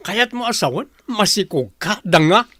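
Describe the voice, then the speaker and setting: A man's voice: sits high, expressive, normal speed, fairly bright tone, no room sound, studio quality. A man acting a callous, hot-tempered young boyfriend in an Ilocano radio drama. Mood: angry, scornful.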